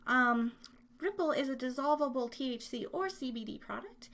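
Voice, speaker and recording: A woman's voice: sits high, lively intonation, moderate pace, a bit bright. A woman hosting a live online show, chatting casually in a bubbly, playful, scattered way. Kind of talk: casual rambling livestream chat.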